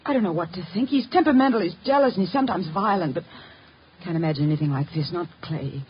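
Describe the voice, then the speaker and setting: An actress playing the defensive, anguished wife of a murder suspect, dramatic and evasive, in a 1950s radio drama. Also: female voice, fairly low pitch, fast, lightly acted. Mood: troubled. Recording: muffled, hissy 1950 radio recording.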